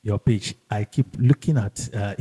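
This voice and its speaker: A man hosting a podcast in casual, halting and emphatic speech, often repeating himself. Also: on the low side, mellow, breathy edge. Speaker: podcast host. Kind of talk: casual podcast introduction and interview chat.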